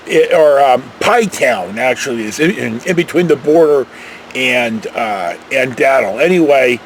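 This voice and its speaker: Animated, high-pitched older American man storytelling. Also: a little hiss or hum, rough recording. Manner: folksy, meandering, animated emphasis. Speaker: vlogger telling personal travel stories. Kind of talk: casual rambling storytelling.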